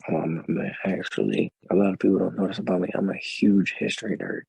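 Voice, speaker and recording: Man, deep voice, muffled slurred words, rough and husky, casual guest chat. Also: sing-song, fairly quick, darkish tone, no room sound. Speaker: rapper guest.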